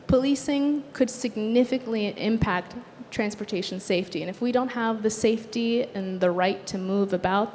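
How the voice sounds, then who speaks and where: A young woman designer, originally from Sudan with Arabic as her first language, giving an earnest, measured talk on a conference stage. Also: medium pitch, a little mellow. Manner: earnest, measured delivery with occasional fillers. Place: conference stage in a large hall. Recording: long hall reverb, faint background noise.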